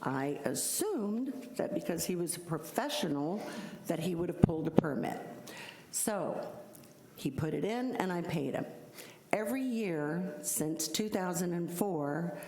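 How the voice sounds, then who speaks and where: Middle-aged woman, low and loud, pleading her case at a hearing; roomy hall sound. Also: expressive, unhurried, slight background noise. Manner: earnest, explanatory, self-described loud voice. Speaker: insurance agent addressing a code hearing. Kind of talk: public hearing testimony. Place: city hall hearing room. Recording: echoey hall from council mic.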